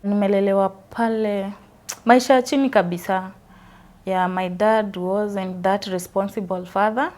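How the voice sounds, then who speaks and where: A woman's voice: mid-range voice, steady tone, unhurried, a little mellow. A Kenyan woman in her twenties from Western Kenya, earnestly telling her life story in an interview and switching freely between English and Swahili. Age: 20s. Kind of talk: personal testimony interview.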